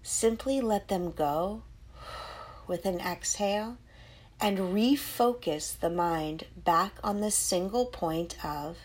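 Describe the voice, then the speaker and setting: Slow-speaking woman guiding meditation. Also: medium pitch, breathy edge. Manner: soothing, unhurried instruction with pauses between short phrases. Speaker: meditation guide and podcast host. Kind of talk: guided meditation.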